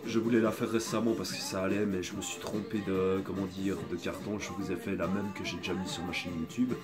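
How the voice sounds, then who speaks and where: A man's voice: sits low, level tone. A man with a cold and a sore throat, talking in a hesitant, rambling way in a casual apologetic video, filmed on a phone. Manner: hesitant, rambling, many pauses. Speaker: video creator. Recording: background music playing.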